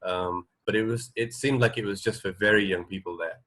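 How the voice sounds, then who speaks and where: A man, middle-aged, co-hosting a radio show in casual chat, halting and tentative as he thinks aloud. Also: on the low side, fairly even intonation, a bit bright.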